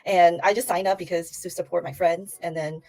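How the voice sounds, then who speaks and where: A woman's voice: on the low side, fairly even intonation, brisk, darkish tone. Hesitant Taiwanese woman guest. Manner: hesitant, many fillers and restarts. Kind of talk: casual livestream interview.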